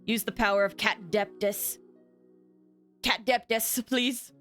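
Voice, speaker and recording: A woman game streamer chatting casually with her viewers in an excitable, chatty manner. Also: on the high side, takes it slowly, faint music behind. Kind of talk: casual livestream chat with viewers.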